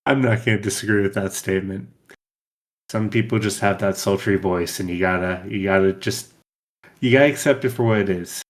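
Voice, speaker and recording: Podcast host guy, lowish voice, jokey and agreeable. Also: a little fast. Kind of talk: casual interview chat.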